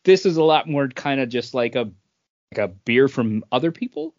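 A man's voice: mid-range voice, lively intonation, normal speed, no room sound. A man co-hosting a podcast, chatting casually and informally. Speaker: podcast co-host. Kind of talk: casual chat. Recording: dry, dull lo-fi audio.

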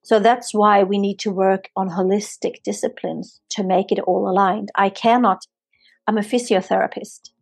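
A woman's voice: mid-range voice, steady tone, normal speed, creaky, darkish tone, breathy edge, no room sound. Swedish-accented woman, earnest and flowing. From Sweden. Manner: earnest, flowing, repeats herself for emphasis. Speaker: yoga therapist and physiotherapist guest. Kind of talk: podcast interview answer.

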